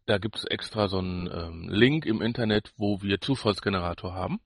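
A man's voice: deep voice, fairly quick, vocal fry, breathy edge, no echo. Man bantering casually as podcast co-host, dry sound.

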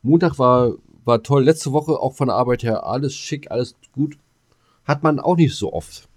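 A man's voice: medium pitch, normal speed. A man co-hosting a podcast in casual banter, laconic and dryly joking. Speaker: podcast co-host.

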